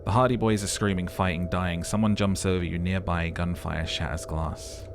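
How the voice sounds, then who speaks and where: A man's voice: low voice, steady tone, normal speed, creaky. A man doing a let's play, narrating the game dramatically and dropping into casual, sweary commentary. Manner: dramatic storytelling mixed with casual, sweary asides. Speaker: let's player. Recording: faint background game noise.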